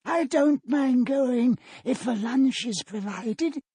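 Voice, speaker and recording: High husky greedy old-story gentleman, demanding, pitch jumping about. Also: expressive, slow, no room sound, exaggerated voice. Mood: grudging. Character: gentleman with a growth on his nose, greedy, hungry. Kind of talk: audiobook character acting.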